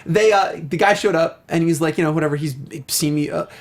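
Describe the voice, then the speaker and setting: A man's voice: fairly high pitch, brisk. A man co-hosting a casual discussion show, telling anecdotes in a chatty, enthusiastic way. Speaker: talk show co-host. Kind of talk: casual video game and film discussion.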